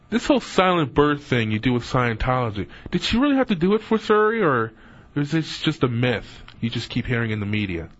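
A man's voice: mid-range voice, lo-fi sound. A man doing a giddy, mock-formal interview for a comedy radio bit. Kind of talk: scripted comic interview bit.

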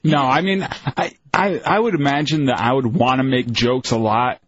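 Deadpan male radio co-host. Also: medium pitch, moderate pace, breathy edge, no echo, lo-fi sound. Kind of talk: radio talk show banter. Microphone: studio microphone.

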